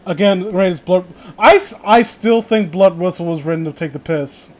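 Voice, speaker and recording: A man doing casual commentary and banter as a streamer reading stories aloud, stammering, exasperated and quick to swear. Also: sits high, a little mellow. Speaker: streamer reading creepypasta with friends.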